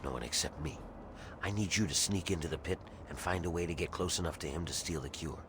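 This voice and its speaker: Grim gruff rebel slave in a video game, low voice, matter of fact, noisy background. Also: male voice, quick pace. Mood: grim, determined. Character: grim embittered rebel slave man scheming for freedom. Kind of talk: video game character dialogue. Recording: clear ambient noise behind voice.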